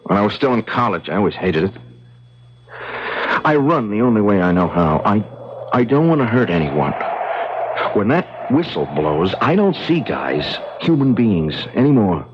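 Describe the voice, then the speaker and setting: Brooding football player, low and emphatic. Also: male voice, sing-song, moderate pace. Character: troubled, hard-hitting young pro football player, husband. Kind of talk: 1970s radio drama acting with narration. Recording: dull, noisy 1970s radio recording.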